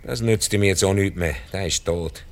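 Low-voiced, cynical old Swiss man. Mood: weary, indifferent. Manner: halting, blunt, world-weary monologue. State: in pain, short of breath. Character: ailing, ruthless old rich returned emigrant, cynical. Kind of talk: radio drama acting in Swiss-coloured German.

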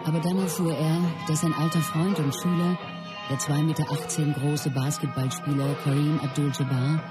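A man's voice: on the high side, steady tone, moderate pace, loud music behind the voice, lightly acted. A man playing an earnest associate who recounts a film star's life in scripted documentary-style radio play narration. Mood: earnest, reflective.

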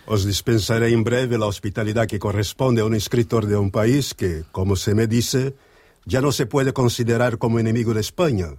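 An actor playing a haughty, bitter, ailing Spanish writer in a radio play, speaking in a formal, disdainful, declamatory manner. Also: male voice, on the low side, brisk, a little mellow, close to an everyday voice. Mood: haughty, dismissive.

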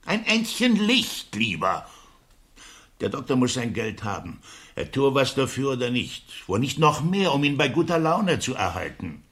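An actor playing an exasperated, argumentative master of the house in a period radio play, grumbling and declamatory. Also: male voice, mid-range voice, huge pitch swings, moderate pace, fairly bright tone.

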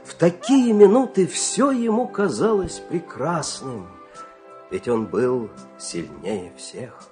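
A man tells a fairy tale as the narrator of an audio play. His delivery is theatrical and animated, moving widely in pitch and using dramatic pauses, with light music behind him. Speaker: storyteller, narrator.

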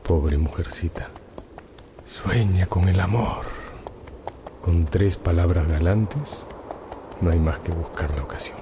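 An actor playing a suave, cynical rich bachelor in a radio drama, smooth, insinuating and theatrically gallant. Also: male voice, low voice, slow pace, long pauses, noisy background. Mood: scheming.

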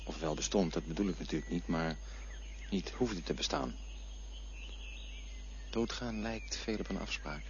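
A man's voice: sits low, a little slow, long pauses. Earnest doubting man, played by an actor, protesting, stammering, dull radio-play sound, faint background noise. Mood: pensive. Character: doubting, stubborn man facing death.

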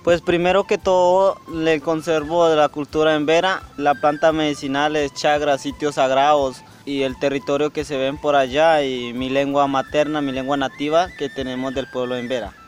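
A man from Colombia answering interview questions in a halting way, often restarting his words. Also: a little high, little pitch movement, faint music behind. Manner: halting, restarts words, sings a chant slowly.

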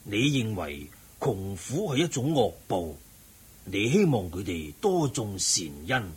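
Rich old buddhist layman, hong kong cantonese, very husky, slow lofty preaching, radio play. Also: fairly low pitch, big pitch swings, full character voice. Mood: solemn, admonishing. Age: older. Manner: measured, preaching. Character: eminent wealthy old layman, lofty lecturing debater.

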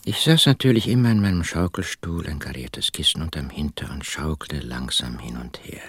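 Very deep, husky brooding country man. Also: moderate pace, a bit bright, no echo, studio quality, full character voice. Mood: smug, brooding. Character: brooding rural husband proudly owning a mysterious jar. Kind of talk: radio drama first-person narration.

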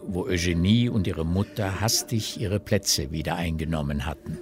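Low-voiced man narrating a radio play. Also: normal speed, music in the background. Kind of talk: scripted literary narration.

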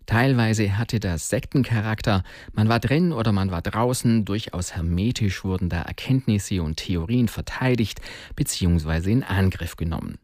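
A man presenting a music programme on the radio in a scripted, measured and articulate way. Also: on the low side, darkish tone, dry recording, studio quality.

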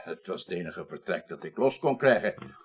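A man acting a formal, fatherly older parliament chairman in a Dutch radio drama, with a Netherlands accent and deliberate, wordy diction. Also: low-pitched, animated, slightly rough, exaggerated voice. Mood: grave, official.

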